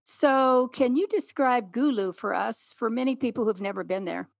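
A middle-aged woman hosting an interview, speaking in a clear, measured way. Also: fairly high pitch, no room sound. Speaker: podcast host and interviewer.

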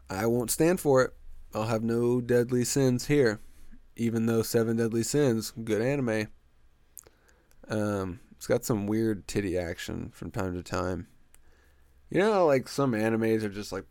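A young man with a congested, stuffy nose rambles through a solo podcast, crude and joking as he wanders off on tangents. His voice sits fairly low, and the recording is dry and close with no room sound. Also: unhurried, pauses often, darkish tone, smooth, no echo. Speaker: podcast host.

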